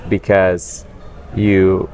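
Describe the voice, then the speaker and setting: A man's voice: sits low, monotone, very slow, a little mellow, a little hiss or hum, poor audio. A man hosting an interview podcast, talking conversationally and encouraging his guest. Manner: conversational, encouraging, frequent backchannels. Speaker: host. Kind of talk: casual interview.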